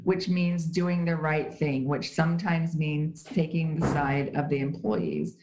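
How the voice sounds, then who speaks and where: A woman with a low voice speaks as an interview guest, explaining things emphatically and leaning on everyday examples. Her voice sounds roomy, as if recorded remotely in a live space. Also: a little slow, a little mellow. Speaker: guest, HR writer. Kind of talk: conversational interview. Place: at home in Switzerland.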